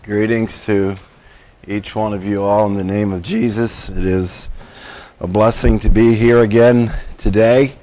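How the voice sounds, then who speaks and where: A man preaching a Sunday sermon, hoarse and croaky from a cold, speaking haltingly with frequent ums and throat clearing. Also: on the low side, steady tone, dark tone.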